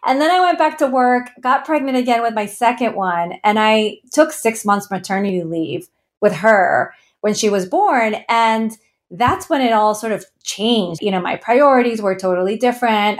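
Earnest woman from Ecuador, laughing often. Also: medium pitch. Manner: earnest, laughs often while telling her story. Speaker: founder guest. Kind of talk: interview conversation.

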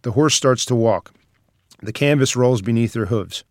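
A man's voice: mid-range voice, moderate pace, darkish tone, dry recording, studio quality. A man reading scripted stage directions as the narrator of a screenplay table read.

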